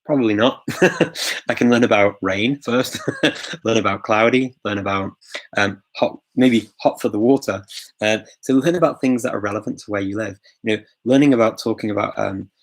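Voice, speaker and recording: Live-stream host, male, low gravelly voice, relaxed and chatty with lots of ums and little chuckles. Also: normal speed. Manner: chatty, filler-heavy, chuckles often, greets viewers. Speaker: host of a live language-learning Q&A. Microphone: phone.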